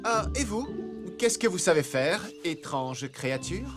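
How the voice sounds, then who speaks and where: Pompous cartoon king: high-pitched, swooping delivery, imperious, music behind. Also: big pitch swings, unhurried. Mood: pompous, breezy. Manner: pompous, commanding. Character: imperious, theatrical king, haughty but easily pleased. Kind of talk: animated fairy-tale dub acting.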